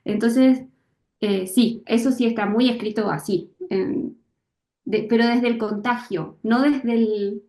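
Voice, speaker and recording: A woman author, from Misiones, talking through her creative process in a thoughtful interview, often pausing and restarting as she looks for words. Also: fairly high pitch, fairly even intonation, mellow, roomy sound. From Misiones, Argentina. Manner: hesitant, searching for words, many fillers and restarts.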